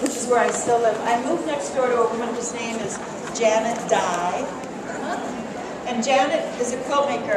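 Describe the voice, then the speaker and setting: A middle-aged woman from Colorado, a quilt artist and teacher, chatting casually and self-deprecatingly with plenty of fillers, recorded from a distance at a show. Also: a little high. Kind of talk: casual demonstration and interview. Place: large echoey hall at a quilt show. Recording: echoey hall from far off, loud ambient noise.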